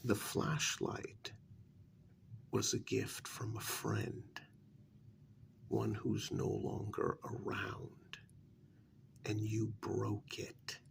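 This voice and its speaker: A man speaking softly in an ASMR role-play, half whispering, with a breathy, creaky voice and a deliberate, halting delivery in short phrases. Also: on the low side, completely flat delivery, background noise.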